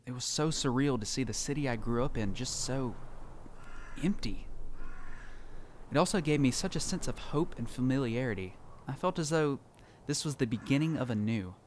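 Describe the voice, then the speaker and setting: A man's voice: medium pitch, slight background noise, natural voice. A man playing a lonely, reflective survivor who narrates his post-apocalyptic journey in a scripted audio drama.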